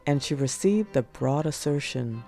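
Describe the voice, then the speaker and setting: A woman narrating religious prose as an audiobook, in a measured, solemn read-aloud delivery. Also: extremely low pitch, animated, mellow. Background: music.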